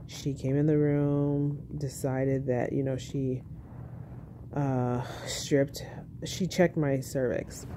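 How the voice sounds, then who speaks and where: A young woman, a tired new mother, telling her birth story in a casual, monotone vlog at home, speaking softly with a baby on her chest. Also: a little hiss or hum. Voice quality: monotone, low-key.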